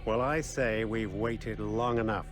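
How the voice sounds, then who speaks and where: A man voicing a brisk, self-assured conspirator of the crusade era in a video game. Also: fairly low pitch, steady tone, soft background music, close to an everyday voice. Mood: businesslike, assured.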